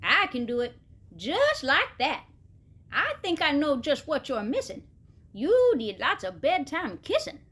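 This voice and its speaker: An affectionate storybook cat lady, voiced by a woman, very sure of herself. Her high voice bounces through rhymes in a lively sing-song. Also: unhurried, exaggerated voice. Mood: confident, playful. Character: self-assured, affectionate female cat, eager to help. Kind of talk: children's storybook read-aloud.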